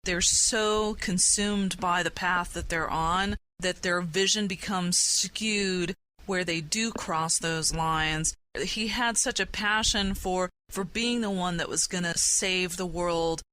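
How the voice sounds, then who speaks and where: A woman's voice: on the low side, slow, few pauses, bright, forceful tone, clean tone, low-quality recording. A woman hosting a conversational panel discussion in an earnest, reflective way. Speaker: podcast host. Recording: noticeable background noise.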